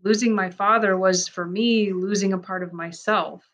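A woman's voice: medium pitch, steady tone, a bit bright. Woman reading a first-person account aloud. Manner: measured, reflective, earnest. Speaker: narrator reading a man's first-person story.

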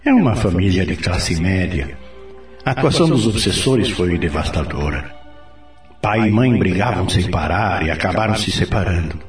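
A Brazilian man playing a patient, authoritative spirit mentor in a radio drama, explaining things in a didactic, expressive way. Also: sits low, moderate pace. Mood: grave, explanatory. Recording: roomy, distant, music behind.

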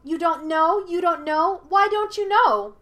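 A mischievous monkey spirit out of a storybook, acted out by a woman. The voice is very high and childlike, taunting and petulant, and slides into a teasing sing-song. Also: measured pace, a bit bright.

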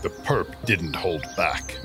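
Male performer as wry detective, hard-boiled, deadpan, lowered pitch, comic radio mystery, faint music. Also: takes it slowly, heavily acted. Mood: wry, grave.

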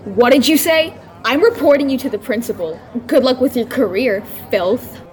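Young woman playing a bullying rich teen girl, highish voice, snobbish, scornful, audio drama. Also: normal speed, a little mellow, some chatter behind, close to an everyday voice. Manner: haughty, scornful. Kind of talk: student audio drama acting.

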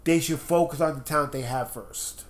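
A man with a slightly high voice and a stuffed-up nose, talking through his views in quick, opinionated bursts. He co-hosts a relaxed wrestling chat podcast, and he pushes his points hard and at length. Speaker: podcast co-host.

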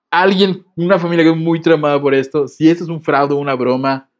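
A man's voice: on the high side, normal speed, lo-fi sound. A man co-hosting a podcast, reading a letter aloud and breaking off into jokes and laughter. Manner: joking, laughs often, reads with comic asides. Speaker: podcast co-host.

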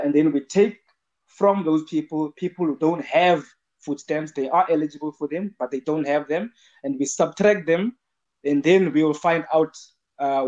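A man's voice: on the high side, a little mellow. Man on a dull, lo-fi line. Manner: earnest, explanatory, repeats words while building a point. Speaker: call-in speaker in a live audio chat room. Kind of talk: casual spoken argument. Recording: dull lo-fi online voice chat.

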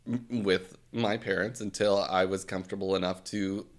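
A man's voice: sits low, fairly even intonation, normal speed. A young man whose first language is Swahili, speaking thoughtfully and hesitantly in a casual podcast chat. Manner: thoughtful, hesitant, with restarts and soft laughs.

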